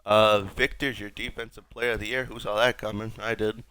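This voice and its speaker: Man, young adult, raspy, sick, lowish voice, rambling, wry, casual game commentary. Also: little pitch movement, normal speed, bright, forceful tone. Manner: rambling, wry, self-mocking. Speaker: gaming streamer.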